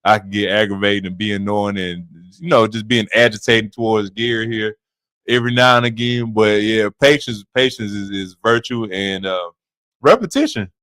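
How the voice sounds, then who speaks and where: Earnest deep-voiced male podcast co-host. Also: moderate pace, a bit bright, dry recording, poor audio. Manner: earnest, repeats himself, stumbles on words. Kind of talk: casual podcast chat.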